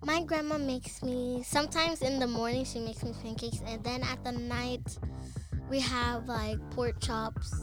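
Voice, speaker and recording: A speaker in a group chat, slow and hesitant, filling pauses with um and like. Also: female voice, on the high side, fairly even intonation, over music. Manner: slow and hesitant, lots of ums and likes.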